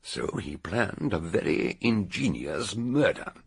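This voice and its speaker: An eccentric old British detective from a radio mystery. His voice is deep and thoroughly rough, full of fry and husk. He explains deliberately and theatrically, with lively rises and falls. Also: full character voice. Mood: assured, expository. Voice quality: gravelly, husky. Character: eccentric older male detective expounding a murder solution. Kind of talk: radio detective drama acting.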